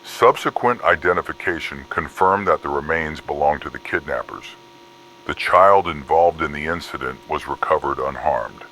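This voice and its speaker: A man's voice: extremely low pitch, moderate pace, pushed and bright, slight background noise, full character voice, low-quality recording. A man reading scripted horror case files in a formal, clinical manner. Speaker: narrator of fictional case files. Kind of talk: scripted analog horror document narration.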